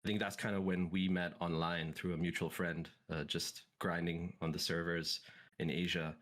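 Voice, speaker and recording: Low-voiced Singaporean man, relaxed and reflective. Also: normal speed. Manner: relaxed, reflective, many fillers and restarts. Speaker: esports team CEO, guest. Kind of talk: casual interview conversation.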